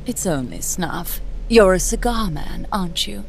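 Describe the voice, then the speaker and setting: A woman's voice: on the low side, expressive, a little slow, gravelly, a little hiss or hum, low-quality recording. An actress playing a bitter, obsessive woman scientist in a video game cutscene, accusing, theatrical and sardonic. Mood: sly, cold. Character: bitter, obsessive female scientist haunted by lost love. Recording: music under the voice.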